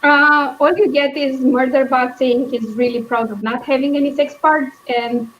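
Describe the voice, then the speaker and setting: A woman in a casual book discussion, thinking aloud in a hesitant, halting way as she hosts. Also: high-pitched, steady tone, a bit bright, lo-fi sound, a little hiss or hum. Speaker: book club host.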